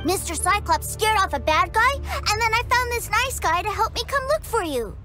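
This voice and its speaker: Sweet chirpy little boy, very high animated voice, game scene with music. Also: moderate pace. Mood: cheerful. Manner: chirpy, earnest. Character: eager sweet little boy, younger brother. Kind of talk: video game dub. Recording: game audio with loud music.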